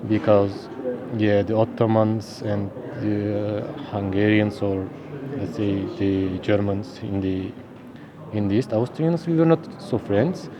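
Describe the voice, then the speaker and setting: A man in his thirties from Turkey, speaking English with a Turkish accent as an interview guest, halting and searching for words. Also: on the low side, steady tone, low-quality recording. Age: 30s. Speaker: linguist guest. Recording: outdoor crowd chatter behind.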